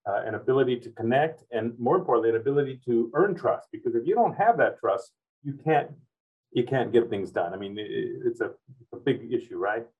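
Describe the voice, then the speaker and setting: Man, interview host, rambling long questions, quick delivery, distant from mic. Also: medium pitch, expressive, slightly rough. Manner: rambling, loops back on his phrasing. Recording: tinny phone-like sound, off-mic.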